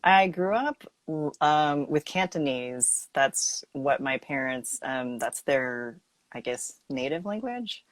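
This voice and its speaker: A young woman from Texas chatting as a guest on a livestream, soft-spoken and giggly, with hesitant "ums". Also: very deep voice, lively intonation, slow, no echo. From Texas, United States. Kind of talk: casual chat on a livestream. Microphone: phone or laptop mic on a video livestream.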